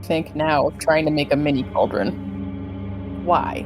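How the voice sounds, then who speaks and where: A woman with a very low voice chats as a player in a tabletop game. She muses aloud and drops in playful, casual comments. Music plays clearly behind her. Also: steady tone, slow pace, low-quality recording. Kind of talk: casual chat.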